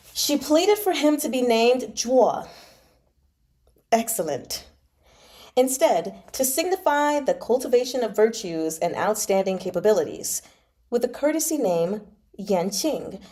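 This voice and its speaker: Mellow-voiced woman reading and chatting informally. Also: on the high side. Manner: informal, slangy, expressive commentary. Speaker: host of a live book read-along. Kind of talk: reading a novel aloud with casual reaction asides.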